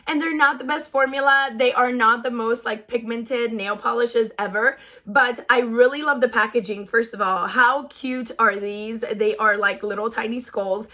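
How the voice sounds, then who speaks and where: Raspy-voiced young woman gushing. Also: on the high side, bright, forceful tone. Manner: chatty, gushing, many "like" fillers. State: voice tired from shouting. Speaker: beauty vlogger. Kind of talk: casual product review chat.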